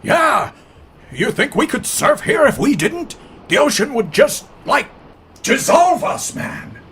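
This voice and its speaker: A goofy alien surfer dude in an audio story, gushing in stretched-out slang. The voice is extremely high and gravelly, swooping up and down. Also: male voice, sing-song, takes it slowly, a bit bright, raised voice, a little hiss or hum, heavily acted. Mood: stoked, carefree. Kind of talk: audio fiction character acting.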